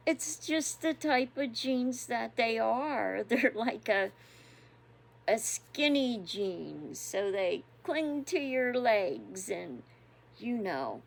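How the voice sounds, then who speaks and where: Woman, sixties, raised pitch, leisurely pace, casual devotional chat. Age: 60s. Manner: unhurried, chatty, chuckling often. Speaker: devotional host.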